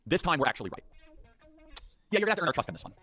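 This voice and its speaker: A man delivering a fast political talk-show monologue, emphatic and full of rhetorical questions. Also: fairly high pitch, big pitch swings, pushed and bright, no echo.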